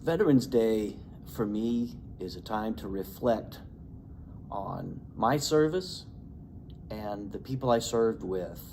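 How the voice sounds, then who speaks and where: A man in his fifties from Indiana, an Army veteran introducing his veterans group and reflecting earnestly at a measured pace. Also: fairly low pitch, animated, darkish tone, a little hiss or hum. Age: 50s.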